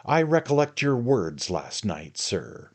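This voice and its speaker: A man reading aloud and voicing an excitable, touchy middle-aged suitor who turns shrill and accusing. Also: moderate pace, natural voice. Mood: indignant, sneering.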